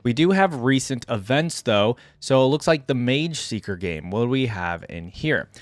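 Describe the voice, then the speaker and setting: A man with a mid-pitched voice hosts in a casual reaction style, moving between reading text aloud and giving his own informal comments. The recording is dry and close, with very little room sound. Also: lively intonation, moderate pace, no room sound. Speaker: YouTube reaction host.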